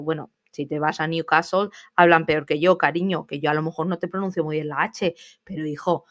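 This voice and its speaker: A woman in her thirties from Spain, a teacher hosting a casual solo podcast, chatty and familiar, talking straight to her listeners with asides. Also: deep voice.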